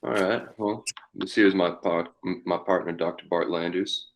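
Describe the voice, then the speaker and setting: A man acting a very deep-voiced Western outlaw captain. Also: fairly even intonation, normal speed, rough recording. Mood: businesslike. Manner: folksy cowboy talk. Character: tough Western outlaw captain recruiting a posse. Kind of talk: western table read.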